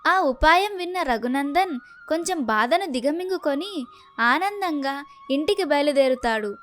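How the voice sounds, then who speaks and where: A woman's voice: sits high, lively intonation, a little slow, fairly bright tone, soft background music. A woman reading scripted narration for a children's story.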